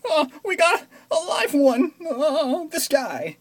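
A man's voice: very high-pitched, big pitch swings, slow, voice pushed up, exaggerated voice. A man voicing an alarmed military soldier, strained and exclaiming, in a hammy comic-book read-aloud. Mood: alarmed, urgent. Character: alarmed shrill military soldier reporting to his sergeant.

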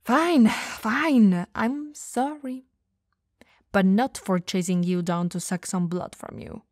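A woman playing an elegant, dominant vampire lady who teases flirtatiously yet caringly in an ASMR roleplay, softly and breathily. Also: mid-range voice, no room sound, studio quality. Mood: grudging, firm. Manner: soft, breathy, slow teasing delivery, often dropping to whispers.

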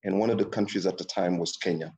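Low husky gravelly man. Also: quick pace. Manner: relaxed, rambling with restarts. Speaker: guest, startup founder. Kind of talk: casual interview answers.

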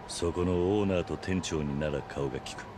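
An actor playing a stoic, commanding middle-aged former yakuza in a video game, speaking tersely and gravely. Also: male voice, sits low, moderate pace, noisy background, close to an everyday voice. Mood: calm. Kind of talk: acted video game cutscene dialogue.

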